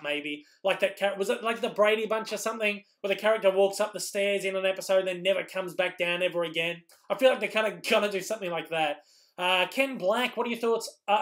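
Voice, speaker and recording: High-voiced Australian man, going hoarse. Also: fairly bright tone. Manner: rambling, lots of ums and restarts. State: losing his voice. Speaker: YouTube host answering live chat. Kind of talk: casual live-stream Q&A.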